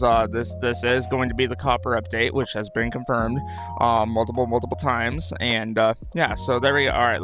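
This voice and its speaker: Male gaming host, mid-pitched, casual and rambling with repeated phrases, dry just-woke-up throat, music underneath. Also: fairly bright tone. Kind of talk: casual game commentary.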